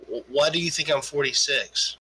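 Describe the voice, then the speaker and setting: A man in his forties argues over online voice chat, restarting his sentences and swearing freely. His voice is bright and forward with a husky edge, and he jokes crudely as he goes. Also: on the high side, moderate pace, poor audio. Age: 40s. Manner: stammering, profane, joking. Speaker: debate stream participant. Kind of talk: crude casual argument.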